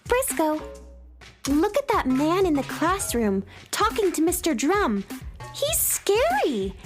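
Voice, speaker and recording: Woman playing a very high-pitched, curious young heroine. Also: full character voice. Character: wide-eyed, curious, kind-hearted young female space adventurer. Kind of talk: children's audio drama acting.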